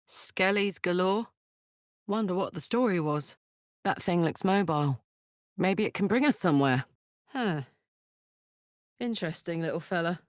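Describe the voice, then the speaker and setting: An actress playing a brash, earthy, big-hearted woman warrior in a video game, blunt and informal, quick to swear. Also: fairly high pitch, no echo. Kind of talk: video game character voice acting. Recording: dry close studio recording.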